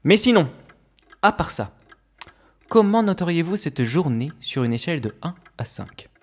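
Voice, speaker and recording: A man's voice: a little high, very animated, normal speed. A man playing a polite, insinuating ski-resort staff member in a comedic audio drama. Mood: ingratiating.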